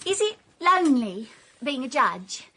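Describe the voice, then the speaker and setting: A provocative, demanding girlfriend in a radio drama. The woman's voice is extremely high, yet she takes her time over her words with bold directness. There is faint noise behind her. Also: very animated, unhurried, bright, forceful tone, full character voice. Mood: sociable, provocative. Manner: bold, forthright.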